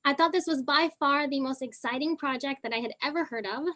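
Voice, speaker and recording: A young woman originally from Argentina, speaking with a Southern California accent. Her voice sits high as she speaks, and she talks casually, with plenty of ums and uhs. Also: a little fast, a bit bright. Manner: casual, many fillers. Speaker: panelist, geochemist. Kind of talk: online panel talk.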